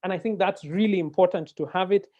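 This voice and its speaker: A man's voice: high-pitched, fast talker, mellow. Kenyan man hosting over dull call audio. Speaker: webinar moderator. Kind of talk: conversational webinar hosting. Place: indoor room, video call. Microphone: earphone mic on video call.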